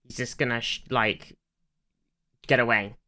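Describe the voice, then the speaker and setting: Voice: mid-range voice, steady tone, measured pace, bright, forceful tone. A podcast co-host explaining a TV show in casual, matter-of-fact, sweary chat.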